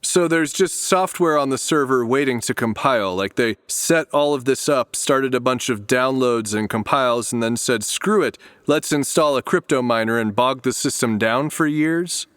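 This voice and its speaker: A man's voice: mid-range voice, normal speed, few pauses, fairly bright tone, clear, resonant tone, no echo, studio quality, close to an everyday voice. A man playing an anxious, exasperated programmer in an audio drama, with an awkward, grumbling, naturalistic delivery.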